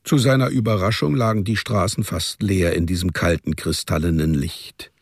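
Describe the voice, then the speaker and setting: A man narrating a literary radio drama as a storyteller, measured and deliberate. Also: low voice, breathy edge, dry recording, clean studio sound.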